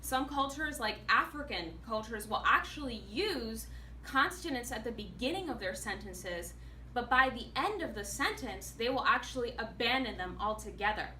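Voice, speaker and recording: An animated woman, an actor and singer, giving a prepared speech to a club audience in a meeting room. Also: on the high side, moderate pace. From United States. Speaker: public speaker, actor and singer. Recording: echoey room, mic far off, faint noise.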